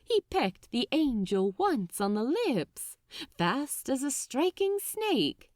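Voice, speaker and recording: Audiobook narrator, woman: expressive scripted fiction read, slightly slow, recorded close and dry. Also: high voice, no room sound.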